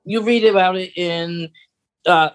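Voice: medium pitch, unhurried. A podcast co-host explaining things in a casual, conversational style.

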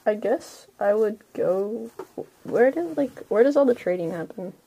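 Husky-voiced woman playing a tabletop game. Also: medium pitch, animated, measured pace, mellow. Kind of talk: casual group chat.

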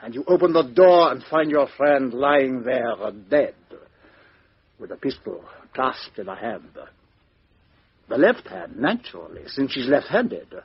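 An actor playing a shrewd, methodical foreign detective in a 1950s radio mystery, speaking in a deliberate, courteous, theatrical way with rhetorical questions. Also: male voice, medium pitch, very animated, slightly rough, heavily acted. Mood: dramatic, deliberate. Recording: dull lo-fi 1950s radio recording.

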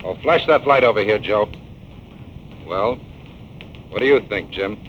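An actor playing a gruff, impatient middle-aged police inspector in a 1940s radio drama. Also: male voice, lowish voice, unhurried, close to an everyday voice. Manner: curt, blunt orders. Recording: dull old radio transcription with faint hiss.

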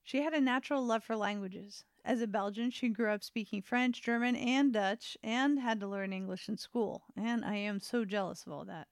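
A woman's voice: a little high, normal speed, few pauses, smooth, no echo, studio quality. A woman host narrating a feature in a conversational, storytelling way. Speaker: host and interviewer.

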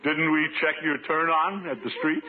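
An actor playing a pompous, worried water commissioner with a hearty, blustery delivery in a 1950s radio comedy. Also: male voice, on the high side, moderate pace, close to an everyday voice. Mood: anxious, placating. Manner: blustery, eager to please. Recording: hissy, muffled 1950s radio recording.

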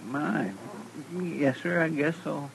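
A man's voice: fairly high pitch, slow, vocal fry. A man acting in a 1950s radio western as a folksy, deferential deputy sidekick with a fretful, drawling manner. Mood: anxious, hesitant. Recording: noisy vintage radio recording.

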